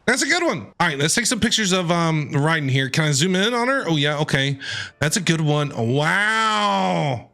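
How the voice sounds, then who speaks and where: Bright, animated woman streaming a game. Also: low voice, normal speed, vocal fry. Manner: playful, reactive, conversational. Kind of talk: casual live gameplay commentary. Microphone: close streaming mic. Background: game music.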